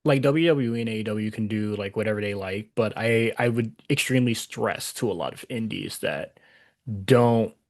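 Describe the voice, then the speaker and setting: A man's voice: lowish voice, moderate pace. Laid-back, laughing male podcast co-host. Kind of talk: casual chat.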